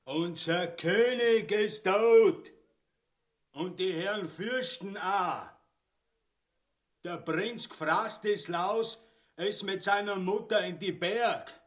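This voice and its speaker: Jittery peasant, Austrian-Bavarian accent, high voice, unhurried speech, rustic radio play. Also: male voice. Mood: ominous, jittery. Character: rustic, jittery peasant passing on grim rumours.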